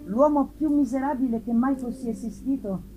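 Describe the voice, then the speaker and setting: A woman narrating a gothic novel in a deliberate, theatrical way, with emphatic swells and drops. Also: high voice. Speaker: narrator, reader. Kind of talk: dramatic reading of a gothic novel. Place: live festival performance. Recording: live reading with faint ambient noise.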